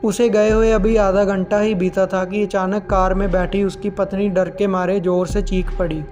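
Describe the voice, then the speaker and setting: Casting: male horror narrator with a high-set voice and very even delivery. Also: moderate pace, faint music behind. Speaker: horror story narrator and channel host. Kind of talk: scripted narration of horror stories.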